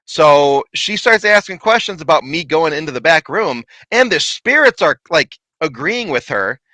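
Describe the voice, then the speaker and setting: A man telling a lively, laughing story from his paranormal investigations in casual chat. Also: on the high side, normal speed, dry recording, poor audio. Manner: animated, laughing, big voice.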